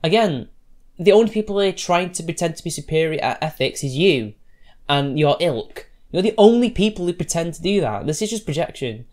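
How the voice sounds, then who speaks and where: A man's voice: on the high side, expressive, brisk, a little mellow. A man giving combative, sarcastic reaction commentary, talking loosely with restarts as he answers posts. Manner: loose, rambling delivery with restarts. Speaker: YouTube commentator.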